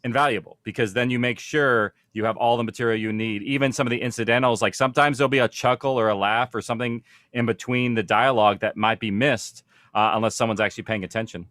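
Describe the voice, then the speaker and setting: A man's voice: medium pitch, steady tone, fast, fairly bright tone, dry recording, studio quality. A man speaking informally as a panel host, explaining things in a conversational way. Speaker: panel host, audio production producer.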